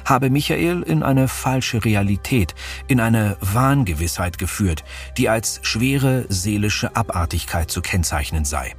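Male true-crime co-host, low voice, measured scripted delivery, soft music bed. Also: expressive. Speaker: podcast co-host.

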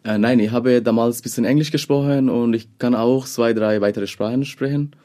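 A young man from Bangladesh, a second-language speaker, answering interview questions haltingly with many fillers. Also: mid-range voice, fairly even intonation, mellow. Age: young adult.

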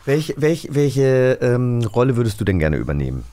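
A man's voice: mid-range voice, very animated, normal speed, warm tone. A man hosting a casual studio conversation, organising and moderating it. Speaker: host. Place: radio studio.

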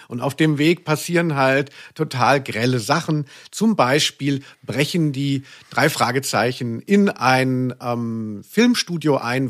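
A man's voice: medium pitch, fairly bright tone. A man hosting a podcast in casual, chatty style, rambling and correcting himself as he goes. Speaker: podcast host.

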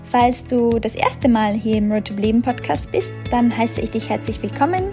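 A woman's voice: fairly high pitch, moderate pace, over music. A woman from Austria hosting a podcast, introducing her guest with upbeat enthusiasm. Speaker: podcast host. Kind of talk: podcast interview.

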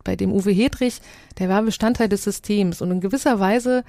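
A woman with a soft, dark-toned voice talks in a casual discussion. She thinks aloud as a radio journalist, reshaping sentences as she goes. The sound is very dry. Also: mid-range voice, normal speed, no room sound. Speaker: radio journalist and panel guest.

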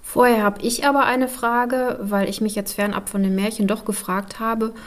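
A woman's voice: fairly high pitch, steady tone, moderate pace. A woman co-hosting a podcast and explaining things conversationally. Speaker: podcast co-host.

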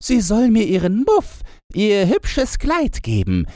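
A man's voice: sits high, huge pitch swings, slow, warm tone, no room sound, clean studio sound, voice pushed up, full character voice. A man voicing a wild, spoiled little robber girl with a bossy, demanding manner in a fairy tale audiobook.

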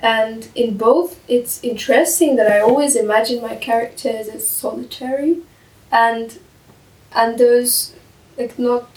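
A woman whose first language is Hungarian, speaking slowly and thoughtfully in a casual podcast discussion, often searching for her words. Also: fairly high pitch, darkish tone. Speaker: podcast guest. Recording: echoey room, far from the mic.

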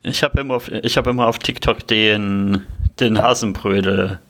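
A man's voice: on the low side, fairly even intonation, normal speed, fairly bright tone. A man chatting casually as a podcast co-host among friends. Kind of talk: casual chat.

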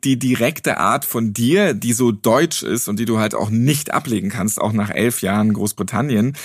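A man interviewing a guest, asking quick follow-up questions and slipping in jokes. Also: fairly low pitch, husky, no room sound, studio quality.

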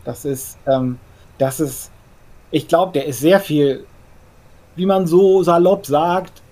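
Nervous perfectionist vampire, male player, higher-pitched, stammering, formal and earnest, for role-play audio drama. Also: slow pace, dark tone. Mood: tense, earnest.

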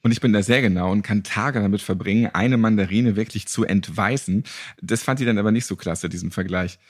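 Husky male podcast host. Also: lowish voice, a little fast. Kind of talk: casual interview and host-read ad.

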